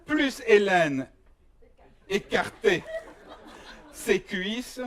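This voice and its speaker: A man from Belgium performing a comic stage monologue in a mock-serious, deliberate way, with pauses for effect. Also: sits high, big pitch swings. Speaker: stand-up performer.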